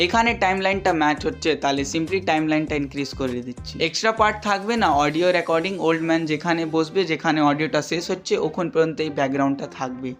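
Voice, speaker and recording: A man, peppered words, narrating a software tutorial step by step as an instructor. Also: fairly high pitch, quick pace, few pauses, faint music behind. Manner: instructional, repetitive step-by-step phrasing.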